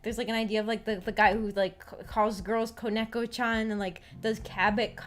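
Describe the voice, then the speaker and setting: A woman's voice: medium pitch, little pitch movement, normal speed, a bit bright, a little hiss or hum. A woman streamer chatting casually, giggly and hesitant, rambling and repeating her words. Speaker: VTuber streamer hosting a visual novel book club. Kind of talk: casual livestream chat. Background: background music.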